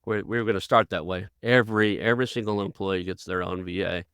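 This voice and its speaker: Gravelly deep-voiced Oklahoma man. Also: steady tone, fast, fairly bright tone, dry recording, clean studio sound. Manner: rambling, folksy, restarts often. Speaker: podcast host. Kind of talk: conversational interview.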